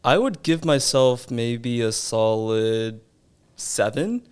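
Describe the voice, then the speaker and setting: A man's voice: mid-range voice, animated, takes it slowly, darkish tone, clean tone. A man in his twenties who grew up partly in China, chatting casually on a student podcast in a joking, easygoing way. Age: 20s. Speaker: podcast guest. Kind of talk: casual chat.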